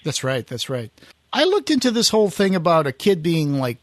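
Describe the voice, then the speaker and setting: Male podcast host, slightly high voice, dry close sound, casual storyteller who acts out quoted lines. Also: big pitch swings, brisk, dry recording. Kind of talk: casual chat.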